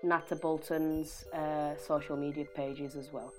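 A woman's voice: low-pitched, flat delivery, a little slow, warm tone. A woman chatting casually as a podcast co-host. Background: music.

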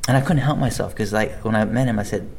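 Low-voiced man, rambling interview chat. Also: fast, vocal fry, a little mellow, slight background noise. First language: English. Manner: rambling, many restarts and fillers. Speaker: actor guest.

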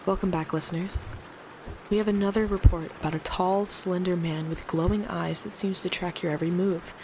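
An actress playing a calm, casual nighttime radio host with a creaky voice in an audio drama, reporting on creature sightings. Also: female voice, lowish voice, brisk, background noise, close to an everyday voice. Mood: calm concern.

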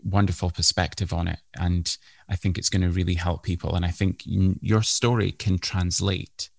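A male host with a very deep, gravelly voice, recorded dry and close. He talks in a measured, reassuring way to his guest. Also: flat delivery, dry recording. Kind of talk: supportive interview conversation.

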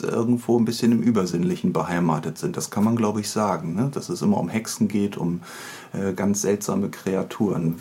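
A man's voice: lowish voice, a little mellow, breathy edge. A man hosting a conversational interview, feeling his way through his phrasing with hesitations. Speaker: podcast interviewer, host.

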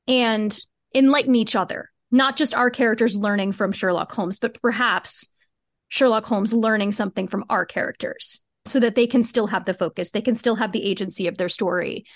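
A woman's voice: fairly high pitch, dry recording, clean studio sound. A woman answering as an interview guest, explaining at length in an earnest, emphatic, conversational way with repeated phrases. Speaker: podcast guest, fiction podcast co-creator.

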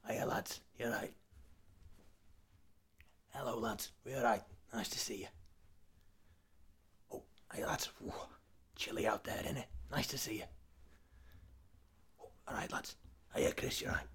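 A man voicing a breezy, chummy bloke in breathy takes of casual greetings for an audio comedy. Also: lowish voice, measured pace, pauses often, fairly bright tone, pitched below the performer's own voice, heavily acted. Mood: matey, muted. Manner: matey, repetitive, casual greetings.

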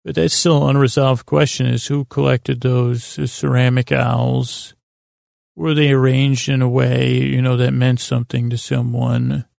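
A man hosting a sleep podcast, rambling in a halting, meandering way with lulling, soothing tones. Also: mid-range voice, flat delivery, darkish tone, no room sound, clean studio sound. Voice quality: creaky, gravelly. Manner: meandering, hesitant, halting, trailing off into tangents. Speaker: podcast host.

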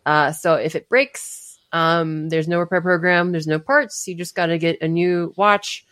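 A woman co-hosting a tech podcast, chatting casually and quickly making her point. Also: on the low side, fairly even intonation, fairly bright tone, no echo. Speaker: podcast co-host.

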